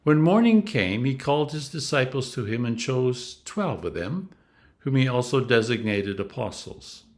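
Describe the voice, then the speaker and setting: A man reading scripture aloud as a clergyman, in a solemn, deliberate style with emphatic stresses. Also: medium pitch, very animated.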